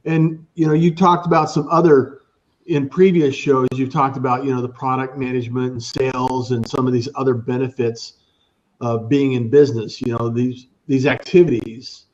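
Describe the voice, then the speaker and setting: A man hosting a business talk show speaks at a middling pitch, rambling and repeating words as he looks for the next one. His sound is a little dull and muffled. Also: fairly even intonation, moderate pace, darkish tone.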